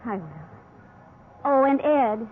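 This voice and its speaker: Fond, high-voiced American wife. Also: female voice, slow, narrow, tinny audio. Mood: fond, fussing. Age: middle-aged. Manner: affectionate, mildly nagging. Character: fond, fussing middle-aged wife, gently teasing. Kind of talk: 1950s radio drama acting. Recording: band-limited 1950s radio recording with hiss.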